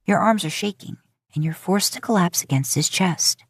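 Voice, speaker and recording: A woman's voice: on the low side, moderate pace, breathy edge, no echo. A woman narrating scripted romantic fiction as an audiobook-style storyteller, recorded close to the mic.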